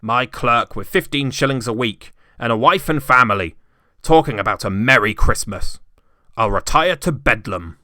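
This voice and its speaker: A man voicing a gruff, miserly old businessman with a curt, scornful, growling delivery in a storybook reading. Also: medium pitch, lively intonation, moderate pace, bright, forceful tone, no room sound, clean studio sound. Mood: muttering disdain. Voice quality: growling, muttering. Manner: curt, cold, dismissive.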